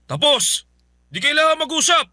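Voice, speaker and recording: An actor playing an irritable husband in a radio drama, speaking curtly and defensively. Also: male voice, very high voice, big pitch swings, moderate pace, bright, forceful tone, no room sound, full character voice. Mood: annoyed. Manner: curt, dismissive, defensive. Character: irritable husband dodging his wife. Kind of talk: Filipino radio drama acting.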